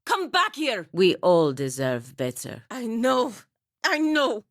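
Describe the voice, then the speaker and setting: A woman's voice: fairly high pitch, wildly swooping pitch, slow, no echo, clean studio sound, full character voice. A woman playing a proud, bitter, dutiful warrior knight in a video game, speaking with stern, formal intensity. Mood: anguished anger. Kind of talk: video game character acting.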